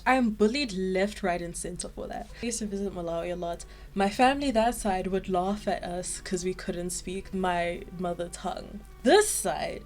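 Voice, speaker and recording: A young woman from South Africa speaks in a fairly low voice, chatting to camera as an art vlogger. Her talk is loose and animated, full of filler words and quick asides. Soft music plays faintly underneath. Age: young adult. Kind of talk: casual chat.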